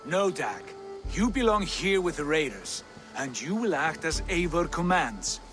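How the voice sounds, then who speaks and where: A man's voice: fairly high pitch, sing-song, a little slow, fairly bright tone, faint music behind. A man acting as an authoritative Viking leader in a game cutscene, giving orders in formal, dramatic speech. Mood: commanding. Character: Sigurd, commanding Viking leader and brother.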